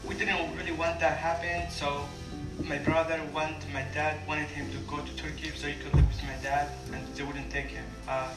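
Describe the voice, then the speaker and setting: A young man from Syria with a Kurdish accent speaks in English, his second-language phrasing plain and halting, full of small restarts. His voice is a touch higher than most men's. He sounds far from the mic in an echoey room, with loud music over him. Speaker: refugee guest speaker. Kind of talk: personal story told to an audience. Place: large room at a community lunch.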